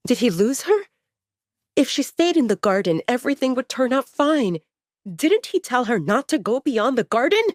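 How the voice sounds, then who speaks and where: A woman's voice: a little high, big pitch swings, moderate pace, dry recording, studio quality. A woman reading a dramatic fiction story aloud as a scripted audiobook narration.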